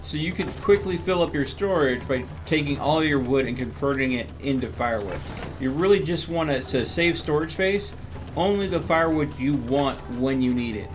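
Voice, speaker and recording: A man's voice: a little high, normal speed. A man streaming a video game, giving casual commentary as he talks to himself and chats to viewers.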